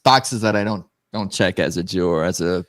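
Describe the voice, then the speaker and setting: A man with a deep voice chatting as a musician guest on a livestream, laid-back and self-deprecating. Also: normal speed, fairly bright tone, dry recording, clean studio sound. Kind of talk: casual chat with singing practice.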